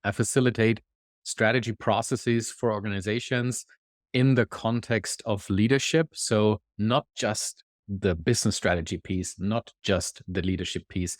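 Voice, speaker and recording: A man with a German accent, a podcast guest, explaining his work in a thoughtful, measured way. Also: on the low side, dry recording, studio quality. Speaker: guest, executive consultant. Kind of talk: conversational interview.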